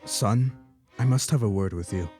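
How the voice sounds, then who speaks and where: A man's voice: on the low side, normal speed, darkish tone, soft background music, lightly acted. A young man playing a protective, grieving older father in a radio play, speaking in a formal, paternal manner. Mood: solemn. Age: young adult. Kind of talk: student radio play acting.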